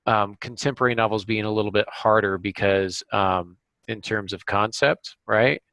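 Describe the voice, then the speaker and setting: A man hosting a writing podcast, explaining things casually in a halting way and often correcting himself. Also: on the low side, steady tone, creaky, a bit bright, dry recording, rough recording. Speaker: podcast host and novelist. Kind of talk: casual craft discussion with examples.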